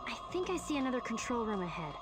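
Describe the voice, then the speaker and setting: A woman voicing a capable, sensible teenage girl in an action game, practical and expressive. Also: a little high, fast, over loud music. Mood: practical concerned.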